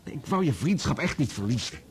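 An actor playing an evasive journalist who excuses himself in a placating, reasoning manner in a Dutch radio play. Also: male voice, mid-range voice, very animated, brisk, natural voice. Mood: defensive, apologetic. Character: evasive, self-excusing male journalist, caught betraying friends.

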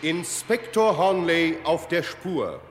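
A man's voice: fairly high pitch, animated, takes it slowly, soft background music. A man dramatically announcing titles for a vintage radio crime series, with long echo on his voice.

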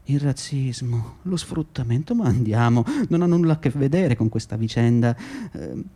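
An actor playing a condescending, self-justifying labour agency manager in a radio drama, with patronising, hesitant, repetitive speech. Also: male voice, mid-range voice, moderate pace, mellow, natural voice. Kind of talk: radio drama monologue and dialogue.

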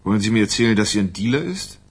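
An actor playing a blunt, wry, unruffled male writer in a naturalistic radio crime drama, with a husky voice. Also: on the low side, animated, very fast. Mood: wry, defiant.

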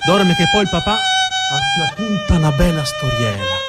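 A man playing a tender parent in a stage tale, soothing a baby to sleep with slow, repetitive murmured words. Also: on the high side, sing-song. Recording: music behind the voice.

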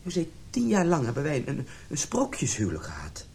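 A man's voice: fairly high pitch, huge pitch swings, fairly quick, gravelly, a little mellow, slight background noise, heavily acted. A male actor playing a wounded, domineering middle-aged husband in a radio drama, with an agitated manner. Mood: pleading. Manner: agitated, stammering when upset.

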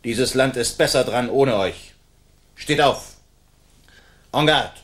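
A man's voice: mid-range voice, slow pace, fairly bright tone. A man acting a proud, gallant swordsman outlaw in a radio play, speaking formally and commandingly with defiant threat. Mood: threatening.

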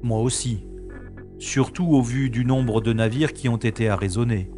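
A man playing a weary, bantering spaceship commander in a science fiction audio drama, with a measured manner. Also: medium pitch, warm tone, soft background music, lightly acted. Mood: focused. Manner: measured, exasperated with his computer.

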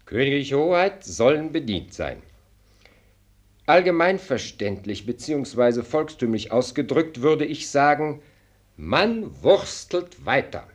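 An actor playing a dry, blunt minister of state in a German radio play, speaking formally and pointedly with an ironic, lecturing air. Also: male voice, mid-range voice, sing-song, slow pace. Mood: sardonic.

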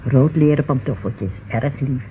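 An actor playing a homely, chatty and slightly flustered character in an old radio crime drama, speaking hesitantly. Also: male voice, mid-range voice, unhurried, natural voice. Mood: chatty, defensive. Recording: tinny, noisy old radio recording.

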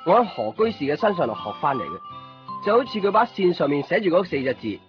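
A man's voice: fairly high pitch, sing-song, quick pace. An actor playing an obliging, easygoing copy-shop man in a 1990s radio drama, with a Hong Kong Cantonese accent. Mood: reflective. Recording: muffled radio recording with music behind.

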